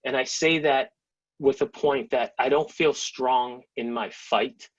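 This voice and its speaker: A man from Massachusetts speaks earnestly and from the heart. His voice sits at an ordinary pitch for a man. The sound is dull and lo-fi. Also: fairly even intonation, slow pace. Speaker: guest speaker. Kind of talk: personal testimony closing.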